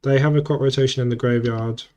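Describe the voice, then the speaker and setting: A man's voice: mid-range voice, steady tone, fast, a little mellow. A man with a cold and a sore throat, muttering casual play-by-play commentary as he plays an online card game and thinks aloud. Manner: muttering, self-correcting play-by-play.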